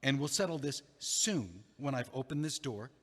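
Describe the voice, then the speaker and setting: A man's voice: medium pitch, expressive, moderate pace, fairly bright tone, husky, big-room sound. An actor playing a hesitant, self-deprecating man who rambles as he pictures his old home, in an audio play. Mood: rambling. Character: nervous, wry man locked out of his home.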